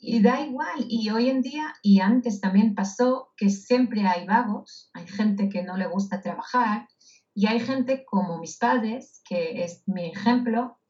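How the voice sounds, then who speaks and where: A woman from Israel, a guest in a casual interview, speaking slowly and thoughtfully with many hesitations. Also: a little high, darkish tone. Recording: dull lo-fi audio.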